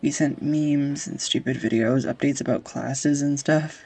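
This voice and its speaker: Gravelly, nervous young woman, played by an actress. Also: deep voice, steady tone, warm tone, full character voice. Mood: wry, nostalgic. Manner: nervous, halting, confessional rambling. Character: anxious, rambling, tender young woman reuniting with old sweetheart. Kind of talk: intimate audio roleplay acting. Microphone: close USB studio microphone.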